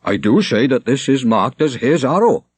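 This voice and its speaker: Male reader as a village man, reasonable, measured, plain-spoken, storybook delivery, dry recording. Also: medium pitch, sing-song, breathy edge, dry recording, pitched above the performer's own voice. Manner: slow, measured, outright. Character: reasonable, dark-browed village man bearing witness. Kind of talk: audiobook character acting.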